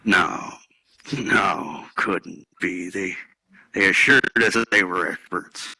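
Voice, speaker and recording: A man playing an embittered, haunted ex-firefighter in a dramatic script reading, with deliberate, halting delivery. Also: low voice, creaky, bright, forceful tone, breathy edge, dry recording, heavily acted, low-quality recording. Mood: shaken. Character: gruff embittered male ex-firefighter, haunted, angry at machines.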